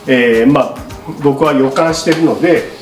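A middle-aged man presents to camera in an informal, courteous, explanatory manner. His voice sits at an average pitch. The room adds clear echo, and music plays faintly behind him. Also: unhurried. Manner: polite, explanatory, unscripted. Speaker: bicycle shop presenter. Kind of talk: casual product explanation to camera. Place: small indoor studio with paper backdrop.

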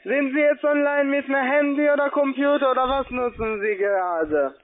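Male phone agent, non-native German with a foreign accent, high pitch, insistent and repetitive, muffled phone line. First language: not German. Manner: insistent, halting phrasing with repetitions. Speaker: call-centre phone agent. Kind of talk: argumentative sales phone call.

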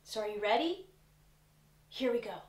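A woman in her forties reads a children's storybook aloud, speaking clearly and deliberately with an expressive storytelling delivery. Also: fairly high pitch, very noisy. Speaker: teaching artist reading aloud to children.

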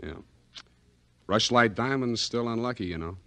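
An actor playing a cool, wisecracking private detective in a 1940s radio drama, delivering dry, sardonic, clipped lines. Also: male voice, medium pitch, normal speed, pushed and bright, dry recording, close to an everyday voice. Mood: wry.

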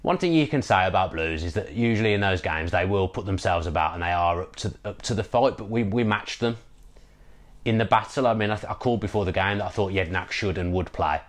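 Fast-talking man, deep voice. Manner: animated, repeats words while thinking. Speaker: football podcast co-host. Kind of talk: casual post-match football chat. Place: home room, indoors. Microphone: video call with earphone mic.

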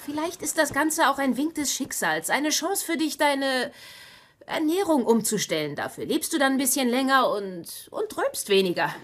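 A woman's voice: high-pitched, expressive, normal speed, slight background noise. A woman playing a sardonic, exasperated wife in a dubbed sitcom. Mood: persuasive. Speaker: actor in a sitcom dub. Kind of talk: German-dubbed sitcom acting.

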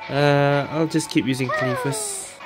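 Woman streamer chatting through a game, real deep voice, slow, mulling stuff out loud. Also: huge pitch swings, poor audio. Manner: musing aloud, questioning. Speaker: gaming streamer. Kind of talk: casual gameplay commentary. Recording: game music and effects behind.

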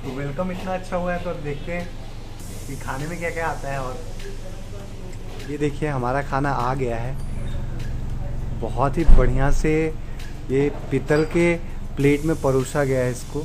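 A man's voice: mid-range voice, fairly even intonation, measured pace, long pauses, noisy background. A young man vlogging a restaurant visit, enthusiastically telling his viewers about the food and decor. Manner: enthusiastic, addresses viewers directly. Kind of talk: casual food vlog narration. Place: indoor restaurant.

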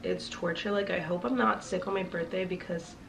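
A woman's voice: on the low side, steady tone, fairly quick, gravelly. A young woman with a congested, sick voice, chatting casually to her viewers as a fashion vlogger, rambling with lots of fillers. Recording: slightly distant mic with faint noise.

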